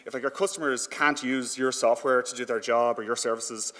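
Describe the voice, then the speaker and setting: A man giving an informal conference presentation in a conversational, halting way with frequent filler words. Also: medium pitch, steady tone, a bit bright. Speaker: conference speaker. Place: indoor conference hall. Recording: echoey hall with long reverb.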